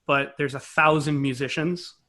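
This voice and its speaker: A man's voice: fairly high pitch, fairly even intonation, normal speed, pushed and bright. A man speaking as an interview guest, a composer and conductor explaining things conversationally in a thoughtful, self-correcting way.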